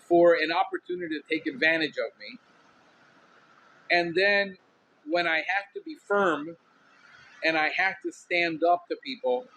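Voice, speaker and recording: A man with a Cuban Miami accent talks loosely and candidly, loud and outspoken, puffing on a cigar between thoughts. His voice sits a little on the high side. A faint hiss of noise runs underneath. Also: rough recording. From Miami, USA. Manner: smoking a cigar while talking. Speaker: podcast host. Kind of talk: casual monologue.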